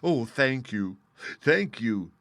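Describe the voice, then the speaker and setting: Man doing a slow, wistful scarecrow. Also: fairly low pitch, expressive, a bit bright, no room sound. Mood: pleading. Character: straw-stuffed scarecrow, earnest and wistful.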